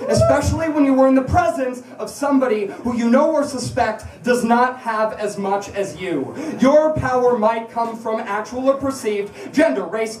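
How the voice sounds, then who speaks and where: A woman's voice: a little high, a little mellow. Woman, spoken-word performer, impassioned live reading, emphatic rhetorical delivery, echoey room, recorded from a distance. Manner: emphatic, rhetorical, confrontational, with deliberate repetition. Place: indoors at a bar, live event.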